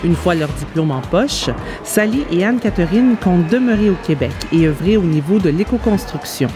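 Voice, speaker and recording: A woman's voice: fairly low pitch, expressive, normal speed. A woman reading scripted narration for a video report as its reporter. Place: voice-over recording. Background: music bed.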